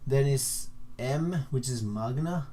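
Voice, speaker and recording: A young man from Finland with a Finnish accent, a native Finnish speaker, explaining things casually in a halting way, repeating and rephrasing himself. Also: medium pitch, mellow. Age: young adult. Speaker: vlogger. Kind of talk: casual informal explainer to camera.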